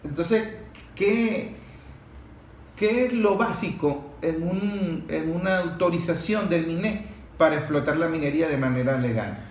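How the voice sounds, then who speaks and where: Venezuelan male interviewer, high-pitched, informal and blunt, recorded in a reverberant room. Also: unhurried. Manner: blunt, colloquial. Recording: echoey room with faint noise.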